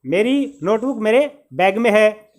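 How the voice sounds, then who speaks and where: High-voiced, slow teacher man. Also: expressive. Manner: patient, repeats each phrase, calls listeners "brother". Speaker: English teacher. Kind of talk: beginner spoken-English lesson.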